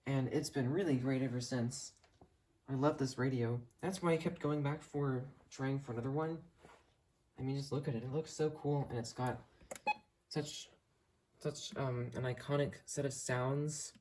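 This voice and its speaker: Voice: mid-range voice, fairly even intonation, moderate pace, noisy background. A person with an earnest, rambling, enthusiastic way of talking, giving a casual tour of a hobby collection. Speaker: hobbyist video creator showing a radio collection.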